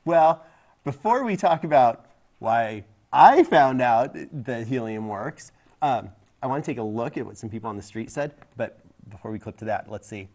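A man's voice: mid-range voice, animated, moderate pace, a little mellow. A man in his forties co-hosting a science show, explaining things in casual banter. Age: 40s. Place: studio set. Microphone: clip-on lapel mic.

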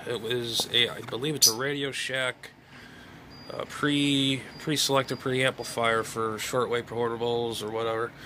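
A man's voice: mid-range voice, fairly even intonation, unhurried. Middle-aged man, noisy casual recording. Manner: rambling, muttering to himself while handling items. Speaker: amateur radio hobbyist and vlogger. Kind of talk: casual unboxing vlog, thinking aloud. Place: small bedroom, indoors. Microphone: phone propped at arm's length. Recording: clear background noise, room ambience.

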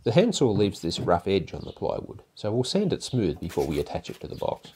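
A fairly low-voiced older man explains each woodworking step calmly and methodically, his pitch rising and falling very freely as he goes. The voice-over carries a faint hiss of background noise. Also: fairly quick. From Australia. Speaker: woodworking channel host. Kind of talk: instructional voice-over narration. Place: home garage workshop.

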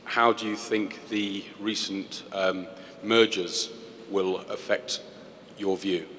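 A man's voice: steady tone, takes it slowly, a bit bright. A man asks a question from the audience, hesitantly and with a stammer. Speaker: audience member asking a question. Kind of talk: conference question. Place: large conference hall. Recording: distant, reverberant, faint rumble.

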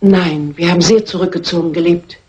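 An actress playing a timid, easily upset lady's companion in a German radio crime drama, anxious, prim and husky. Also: on the low side, steady tone, fairly quick, a little mellow. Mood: timid, nervous.

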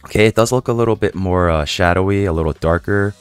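A young man with a hoarse voice from a cold, chatting casually to viewers while playing a game, rambling with lots of fillers. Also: low voice, quick pace, no echo, faint music behind. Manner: chatty, rambling, many fillers and self-questions. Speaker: gaming YouTuber.

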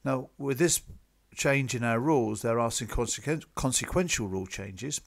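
A man presenting club business to members in a measured, businesslike, explanatory way, partly from a script. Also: medium pitch, expressive, vocal fry. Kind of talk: slide presentation, semi-scripted explanatory talk.

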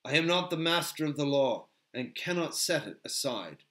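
Man as a stern, bright-voiced elf sentinel. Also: fairly high pitch, animated. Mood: formal, firm. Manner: formal, measured, commanding. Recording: roomy, echoing sound.

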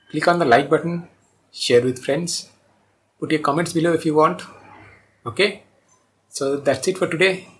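A man in his forties hosting a video as a cartoonist, speaking to camera in simple, short, measured phrases. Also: on the high side. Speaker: host, cartoonist. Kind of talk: scripted-sounding video introduction to camera. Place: small plain room indoors.

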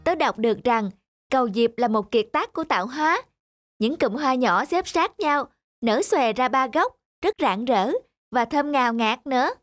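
Very high-pitched, earnest cartoon youngster. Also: female voice, lively intonation, moderate pace, no echo. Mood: dreamy, admiring. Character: earnest, polite youngsters, flower-loving friends. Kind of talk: children's cartoon dubbing. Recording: loud music behind the voice.

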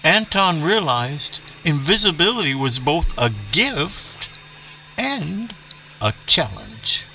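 A man performs an eccentric professor reading a children's story as if on old radio. The voice is bright and very animated, with slow, theatrical phrasing and dramatic pauses. It sits a little away from the microphone. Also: a little high, vocal fry, far from the mic, slight background noise, full character voice, poor audio. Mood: measured wonder.

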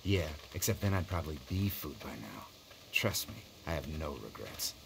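A man's voice: rumbling low, very animated, normal speed, vocal fry, noisy background, full character voice. A man with an American accent playing a frustrated, hungry, talkative survivor in a video game. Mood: grumbling, wry.

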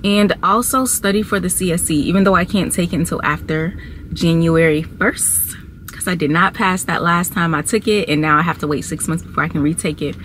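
A young woman chats casually to a phone propped on her dashboard. Her voice sits a little low and she lets her thoughts drift in long, confiding runs. Clear road and car noise runs under everything. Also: fairly bright tone. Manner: chatty, confiding, drawn-out pauses. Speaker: vlogger. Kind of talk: casual chat to camera. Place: parked car, indoors of vehicle. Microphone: phone camera on dashboard.

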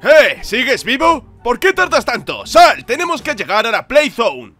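A man's voice: extremely high pitch, wildly swooping pitch, moderate pace, bright, forceful tone, soft background music, heavily acted. A man voicing a bossy, short-tempered, foul-mouthed squad leader in a comedy cartoon fandub. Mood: shouting impatiently. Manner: brusque, impatient, commanding.

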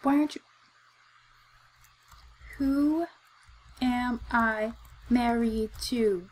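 A woman's voice: a little high, drawn-out words, darkish tone, husky, poor audio. A young woman playing a game, giggly and bemused, pressing questions into a desk microphone. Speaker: gamer. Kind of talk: let's play commentary.

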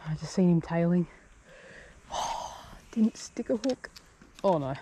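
A man talking to himself in quick bursts and low asides while fishing a bush creek, recorded on a head-mounted camera outdoors. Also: animated, mellow, slight background noise. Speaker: fishing vlogger. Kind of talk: casual self-talk while fishing. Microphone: head-mounted action camera.

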